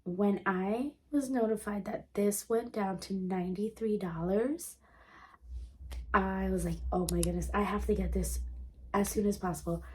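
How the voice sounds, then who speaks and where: A woman's voice: medium pitch, steady tone, a little slow. A woman in her thirties, a homeschool vlogger with a sore throat and a congested, throaty voice, chatting enthusiastically with many ums as she shows off a purchase. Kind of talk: casual show-and-tell vlog.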